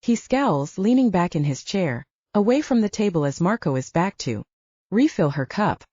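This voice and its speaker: Woman audiobook narrator, mellow lowish voice, dry room, bit muffled. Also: sing-song, moderate pace, dry recording. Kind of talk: scripted dramatic story narration. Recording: dull, lo-fi sound.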